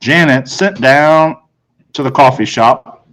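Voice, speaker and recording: A man's voice: medium pitch, slow pace, a bit bright. A man reading a children's novel aloud as a teacher, narrating the story.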